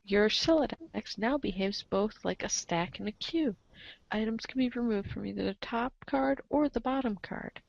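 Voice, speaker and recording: A woman's voice: on the high side, normal speed, gravelly, no echo, highs cut off. A woman reading a comic aloud as the show's narrator, theatrical and playful, slipping into casual asides. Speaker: narrator of a comic read-along show.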